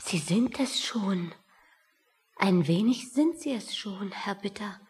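Very breathy, cautious, needling woman. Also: medium pitch, animated, slow, a little mellow, heavily acted. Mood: quietly needling. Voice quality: very breathy, often half-whispered. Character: prim, cautious female colleague, quietly needling. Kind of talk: German radio play acting.

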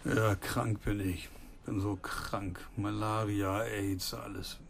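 A man playing a desperate, agitated German abroad in a radio play, excitable and exasperated. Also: on the low side, slow pace, gravelly, a little hiss or hum. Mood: feverish, weak.

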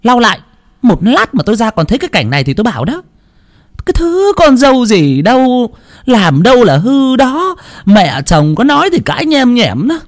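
A man voicing a domineering older mother-in-law who scolds in shrill, rapid tirades. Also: big pitch swings, full character voice. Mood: shrieking scold.

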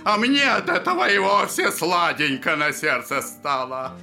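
A debauched old landowner, drunk and maudlin. The voice is high for a man and swoops from theatrical cries to murmurs. He draws his words out slowly in audio drama style. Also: big pitch swings, gravelly, heavily acted. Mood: maudlin, exalted. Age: older. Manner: theatrical, drawn-out, swinging from shouts to murmurs. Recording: music and crowd behind the voice.

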